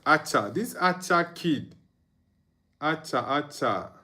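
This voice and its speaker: Young male streamer, unhurried and slightly high-voiced, reads out viewer comments and reacts to the match. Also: lively intonation, sharp tone. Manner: reads viewer comments aloud, chuckles, hums and sings under his breath. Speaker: watchalong streamer. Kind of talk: casual live match commentary and chat reading.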